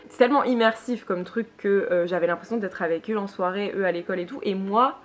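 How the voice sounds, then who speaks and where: A woman's voice: medium pitch, fast talker. A young woman from northern France giving a casual book review in a chatty, hesitant way, full of fillers and restarts. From Lille, northern France. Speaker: book vlogger.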